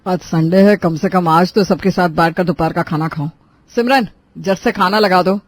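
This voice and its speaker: A grumbling young husband from an animated family story, acted by a woman narrator. He is demanding and fussy, with a complaining manner and dry sound. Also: fairly low pitch, normal speed, no echo, natural voice. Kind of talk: Hindi cartoon story dialogue.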